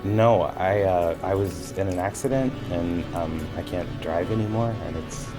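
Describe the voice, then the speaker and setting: A man playing a hesitant, uneasy new homeowner in a fiction podcast, talking in a halting, casual way. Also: sits low, music in the background, natural voice. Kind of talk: naturalistic fiction podcast acting.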